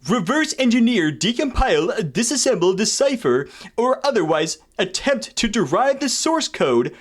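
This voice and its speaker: A man with a high-pitched, very animated voice reads a document aloud. He treats it irreverently, with sweary, mock-indignant asides, and his pitch moves widely as he goes. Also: moderate pace. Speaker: content creator reading terms of service aloud.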